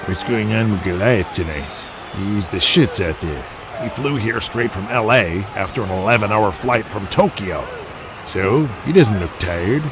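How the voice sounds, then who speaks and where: A man playing a stubborn, pragmatic older father and wrestling promoter, gruff and matter-of-fact. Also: fairly low pitch, a little slow, background noise. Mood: concerned.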